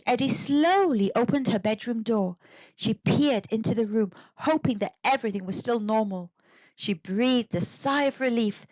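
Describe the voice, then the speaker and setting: A woman narrating a children's storybook in an expressive, deliberate read-aloud manner. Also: fairly high pitch, no echo, lo-fi sound. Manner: animated, deliberate.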